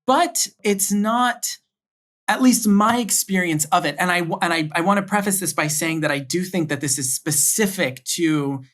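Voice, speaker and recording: A man's voice: sits high, moderate pace, fairly bright tone. Thoughtful young man telling his story. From Miami, United States. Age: young adult. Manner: thoughtful, with frequent restarts and repeated words. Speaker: podcast guest. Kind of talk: conversational interview.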